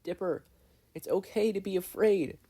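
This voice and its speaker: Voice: mid-range voice, moderate pace, dark tone, slightly rough, pitched above the performer's own voice, lightly acted. A narrator voicing an earnest, upset young girl in a fan fiction reading. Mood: gentle pleading. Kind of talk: fan fiction audiobook character voice.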